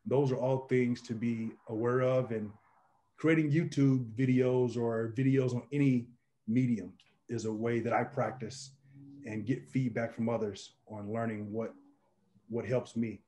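A man in his thirties with an Indiana accent, giving relaxed, measured advice on a video call from a small home office. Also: fairly low pitch. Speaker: meetup organizer. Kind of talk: casual video call chat.

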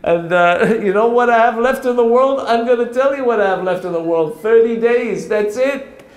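Man voicing a worried magician, clever, talks it through slow, high-ish voice, echoey room. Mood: despairing. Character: doomed male magician, worried but clever. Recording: roomy echo.

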